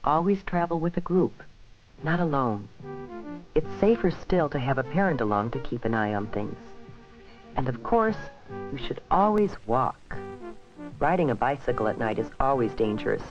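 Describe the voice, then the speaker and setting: An American man reading scripted, instructive narration for a 1970s safety film, with measured phrasing addressed to young people. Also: medium pitch, expressive, over music. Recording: dull vintage 1970s film soundtrack.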